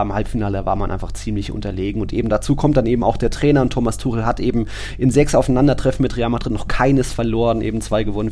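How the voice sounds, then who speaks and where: A man's voice: lowish voice, darkish tone. A man co-hosting a podcast, chatting casually and in detail about football.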